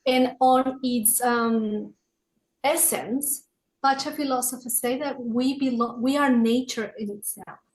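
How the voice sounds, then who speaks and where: A woman's voice: fairly high pitch, steady tone, poor audio. A middle-aged woman from Peru with a Peruvian Spanish accent, answering reflectively as an interview guest and searching for her words. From Peru, raised in Lima. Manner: searching for words, halting phrasing. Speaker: podcast guest, author and wellness consultant.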